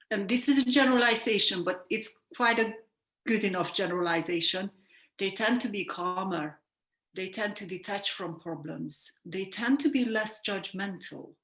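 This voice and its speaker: A woman's voice: medium pitch, a bit bright. Woman, sleep coach guest, earnest and reflective, echoey room. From Hungary. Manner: earnest, reflective, self-correcting. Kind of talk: explanatory interview talk. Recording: roomy echo.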